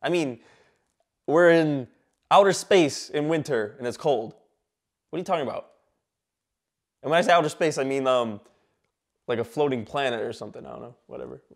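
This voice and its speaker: A man in his twenties from Michigan hosting a comedy talk show sketch in a small studio, irreverent and excitable, swearing a lot. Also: mid-range voice, normal speed, vocal fry, long pauses. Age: 20s. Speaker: host. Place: small indoor studio set.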